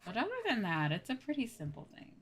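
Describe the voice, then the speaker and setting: A woman's voice: medium pitch, big pitch swings, fast, a little hiss or hum. A woman livestreamer chatting casually and informally, thinking aloud as she goes. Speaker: livestreamer and VTuber host.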